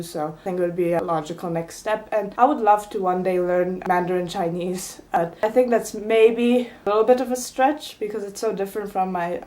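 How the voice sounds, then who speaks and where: Earnest young woman vlogging, lowish voice. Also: a little mellow. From Italy. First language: Italian. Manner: earnest and opinionated, hedges with fillers like "I mean" and "you know". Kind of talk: casual personal monologue to camera.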